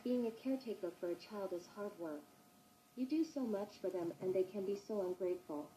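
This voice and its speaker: A woman playing a sinister, self-righteous caretaker in a horror monologue, preachy and measured, in a muffled echoey recording. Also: mid-range voice, close to an everyday voice. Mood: cold, resentful.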